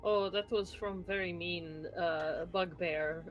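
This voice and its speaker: A woman's voice: fairly low pitch, fairly even intonation, slow pace, highs cut off. A woman voicing a blunt adventurer who speaks in clipped, broken sentences, heard over faint music in a tabletop game. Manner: clipped, drops small words. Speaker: player.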